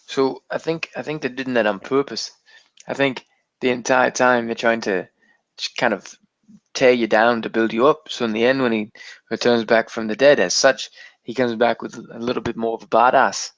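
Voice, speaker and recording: A man's voice: medium pitch, fairly even intonation, moderate pace, fairly bright tone, no room sound, lo-fi sound. A man co-hosting a casual, bantering podcast, talking theatrically and keeping up a put-on accent. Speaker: podcast co-host. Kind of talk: casual banter about TV and video games.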